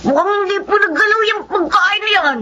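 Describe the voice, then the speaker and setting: A woman's voice: very high-pitched, brisk, sharp tone, highs cut off. A woman voicing a dutiful, caring young child in a Filipino audio drama, earnest and respectful, with a Filipino accent. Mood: hopeful.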